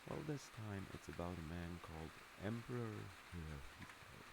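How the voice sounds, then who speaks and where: A man playing a gentle, attentive middle-aged father in a wholesome comfort roleplay, soft-spoken, hesitant and reassuring, with rain behind him. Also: deep voice, moderate pace, gravelly. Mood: easygoing, musing. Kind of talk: wholesome comfort audio roleplay, one-sided conversation. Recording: steady loud rain noise over voice. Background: rain sounds.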